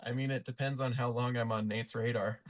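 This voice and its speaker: A man's voice: mid-range voice, little pitch movement, fairly quick. A man chatting casually as a player in an online card game, explaining moves to the others.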